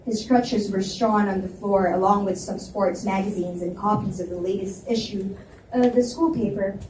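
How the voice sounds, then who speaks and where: Woman narrating a story out loud at a live reading, echoey, kinda far from mic, slight noise. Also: mid-range voice, steady tone, normal speed. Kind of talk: live first-person story narration with dialogue. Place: indoor reading event at a library. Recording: echoey room, distant mic, faint noise.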